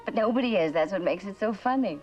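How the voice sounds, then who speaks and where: An actress playing a wry, enigmatic student in a suspense drama, with a teasing, philosophical way of talking. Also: female voice, mid-range voice, lively intonation, quick pace, a little mellow, muffled recording, faint music behind, close to an everyday voice. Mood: amused.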